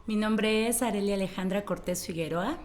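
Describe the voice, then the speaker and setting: A woman with a Mexican accent gives a reflective interview as a musician. Her voice is medium in pitch, over faint music. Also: moderate pace, a little mellow.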